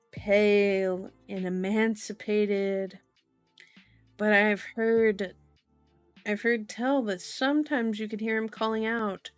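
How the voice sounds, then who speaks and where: A woman voicing a teasing male cop who tells a mock ghost story in a drawn-out, spooky way, reading from a novel. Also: fairly high pitch, no echo. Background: music behind the voice.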